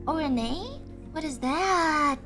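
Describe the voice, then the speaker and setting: A woman streamer chatting casually and thinking aloud, excitable, with frequent gasps and laughs. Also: high voice. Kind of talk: casual livestream chat.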